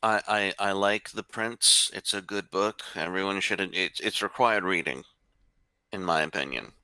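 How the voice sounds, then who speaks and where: A man's voice: sits low, normal speed, bright, forceful tone, no echo. A man hosting a discussion, answering in short, casual remarks. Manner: brief, casual reactions.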